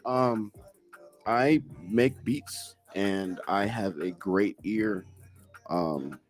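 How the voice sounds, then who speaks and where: A young man with a fairly low voice talks as a music-producer guest. His delivery is casual and slangy, and he speaks close to a studio microphone. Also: drawn-out words. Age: young adult. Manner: informal, slangy, often tags "bro" and "you know". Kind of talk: casual interview chat. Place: indoor studio with curtains. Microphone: handheld-close studio microphone.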